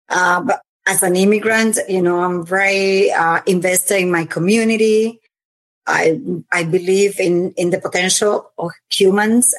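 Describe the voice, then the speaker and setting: Earnest woman from the Dominican Republic. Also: fairly low pitch. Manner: earnest, with many ums and uhs. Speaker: guest, nonprofit program officer. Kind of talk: interview answers.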